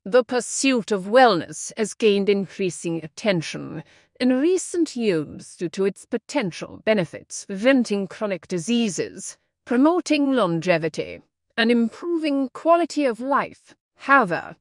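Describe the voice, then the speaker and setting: A woman's voice: mid-range voice, sing-song, unhurried, no room sound, studio quality. A woman reading a scripted practice passage aloud for English test learners.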